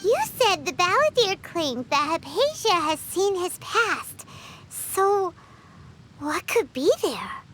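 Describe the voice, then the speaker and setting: Video game fairy sidekick: extremely high childlike squeal, animated pitch, excitable, caring, loud background effects. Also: female voice, slow, exaggerated voice. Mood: curious. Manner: speaks of herself in third person, exclamatory. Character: tiny childlike excitable fairy companion girl, cheeky and caring. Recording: game sound effects behind, clear noise.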